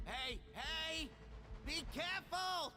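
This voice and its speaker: Anxious young game sidekick, querulous and exclamatory, extremely high and animated. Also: male voice, takes it slowly, over music, full character voice. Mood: worried. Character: anxious, exasperated young man, a friend's nagging sidekick. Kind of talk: anime video game voice acting.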